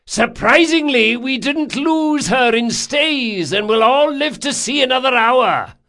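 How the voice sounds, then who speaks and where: Man as a wry, shouting sailing master. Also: very high voice, expressive, measured pace, voice pushed up, heavily acted. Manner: loud, wry shouted replies. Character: nonchalant, hard-drinking old-salt sailing master, wryly insolent. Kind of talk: audiobook character acting.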